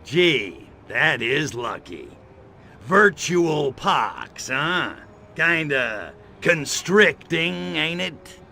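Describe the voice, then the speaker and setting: A man's voice: fairly high pitch, very animated, drawn-out words, vocal fry, sharp tone, a little hiss or hum, exaggerated voice. A man playing a cocky, wisecracking little alien invader in a video game, with a sardonic tough-guy manner. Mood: sardonic, grumbling. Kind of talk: video game cutscene acting.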